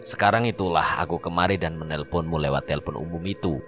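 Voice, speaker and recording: A man's voice: deep voice, fast, over music, close to an everyday voice. Man doing a joking Javanese-accented rickshaw driver. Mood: jovial. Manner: joking, chummy. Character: jovial middle-aged male rickshaw driver.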